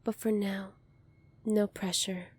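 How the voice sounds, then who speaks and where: A woman playing a gentle, earnest young alien princess in an ASMR roleplay, speaking softly and politely to the listener. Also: medium pitch, flat delivery, slow pace, breathy edge. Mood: warm, reassuring. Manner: soft-spoken, polite, earnest, apologetic.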